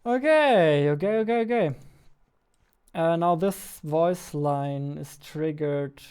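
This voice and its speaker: A man in his twenties talks casually, thinking aloud like a developer at his desk. His voice is fairly high for a man, clean and smooth. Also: slow pace, darkish tone. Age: 20s. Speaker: game developer, video host. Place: small indoor room at a computer.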